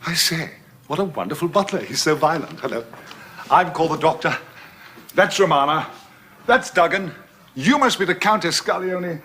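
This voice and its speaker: Cheeky eccentric time traveller from old tv sci-fi, pitch bouncing all over, kinda playful and flippant. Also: male voice, expressive, normal speed, long pauses, sharp tone, slight background noise. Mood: breezy, cheeky. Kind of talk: 1970s television drama acting.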